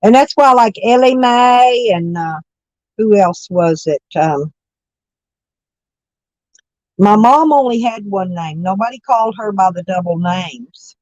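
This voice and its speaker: A hoarse woman with stuffy sinuses, rambling folksily as she chats with live viewers from her camper van. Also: mid-range voice, animated, takes it slowly, long pauses, a little mellow, no echo, muffled recording. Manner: rambling, folksy, self-correcting. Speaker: vlogger chatting with live viewers. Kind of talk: casual morning chat.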